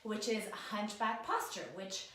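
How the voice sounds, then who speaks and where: A woman with a cold-roughened voice, casually talking viewers through stretches at a work desk while she demonstrates them. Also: medium pitch, a little slow, bright, forceful tone, very echoey. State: hoarse from a cold. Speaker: posture and ergonomics instructor. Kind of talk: guided stretching lesson, talking to camera. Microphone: camera mic, sometimes turned away.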